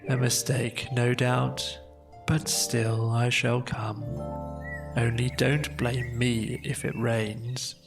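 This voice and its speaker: A storybook narrator voicing a gloomy, self-pitying donkey in a slow, mournful, resigned way. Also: mid-range voice, flat delivery, creaky, over music.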